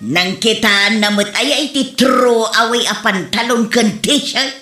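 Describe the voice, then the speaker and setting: A woman playing a sharp-tongued village wife in an Ilocano comedy drama, with a Filipino Ilocano accent. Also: on the high side, slow pace, soft background music. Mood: chatty, mocking. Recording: roomy echo.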